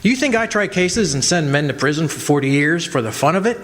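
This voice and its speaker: A man's voice: on the high side, lively intonation, a little fast, a bit bright. Man acting a stern county attorney, echoey hall. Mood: sarcastic, stern. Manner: pointed, domineering. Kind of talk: radio drama acting. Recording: echoey hall stage recording.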